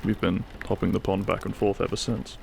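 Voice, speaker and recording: A devoted, wry husband , acted by a man in an intimate audio roleplay. His voice is fairly low with a gravelly creak. He speaks dryly and fondly, with faint ambience behind him. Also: fairly even intonation, a little fast, darkish tone, slight background noise. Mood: grave recounting. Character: devoted, wry British husband sheltering a guest.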